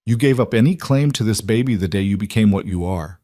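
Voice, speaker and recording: A man's voice: on the low side, fairly quick, mellow, no room sound, close to an everyday voice. A defiant, hurt young wife performed by a male audiobook narrator. She is guarded and stubborn, pressing her accusations with feeling. The recording is very dry. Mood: hurt anger. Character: defiant, hurt pregnant wife of a mafia boss. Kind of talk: dramatic audiobook dialogue.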